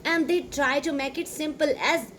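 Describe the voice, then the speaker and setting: Woman, Sindhi-Pakistani English accent, native Sindhi. Rambling, emphatic teacher talk to camera. Slightly high voice, faint background noise. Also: steady tone, moderate pace, a bit bright. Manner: rambling, full of "you know" and fillers, emphatic.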